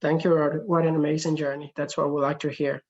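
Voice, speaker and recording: A woman's voice: deep voice, level tone, fast, darkish tone. A woman hosting an online session informally, heard through dull, lo-fi call audio. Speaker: session host.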